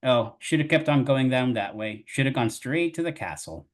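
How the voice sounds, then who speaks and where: A man voicing a friendly, chatty little male worm with homely, rough colloquial speech. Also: mid-range voice, animated, normal speed, lightly acted. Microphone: video call.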